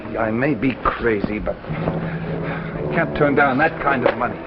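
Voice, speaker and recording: An actor playing an anxious, weak-willed husband, hesitant and earnest, in old radio drama style. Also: male voice, mid-range voice, measured pace, loud music behind the voice, close to an everyday voice. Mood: wavering. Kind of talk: 1950s radio drama acting. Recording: dull old radio recording.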